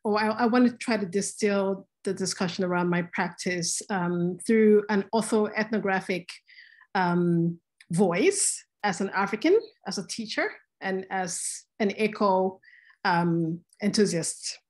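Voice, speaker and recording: A woman's voice: medium pitch, measured pace. A woman from Nigeria presenting her art practice on a video call, speaking with frequent hesitant fillers. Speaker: panelist artist.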